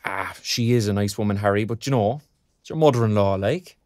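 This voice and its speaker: A man's voice: on the low side, a little fast, no room sound, clean studio sound, natural voice. An actor playing a chatty, put-upon, good-natured younger father in an audio drama, speaking colloquially with an Irish accent. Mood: rueful, animated. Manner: colloquial, animated.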